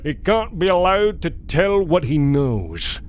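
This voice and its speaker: A man voicing an older, determined American tycoon giving deliberate orders in a motion comic adventure. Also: on the high side, sing-song. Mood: grave, determined. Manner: deliberate, authoritative speeches. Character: old American industrial tycoon, gruff and determined.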